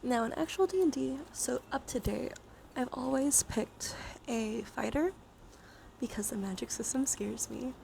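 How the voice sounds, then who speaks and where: A woman live streamer speaking softly, often in a whisper, in a gentle ASMR style mixed with casual chat. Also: a little high, a little slow, poor audio. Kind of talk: ASMR, soft chatty livestream talk. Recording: clear steady background noise.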